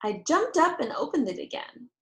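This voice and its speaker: A woman's voice: high-pitched, big pitch swings, normal speed, a bit bright. A woman teacher reading aloud to young children, explaining things in simple phrases. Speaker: teacher reading a chapter book aloud to pupils. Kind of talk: read-aloud storytelling for children.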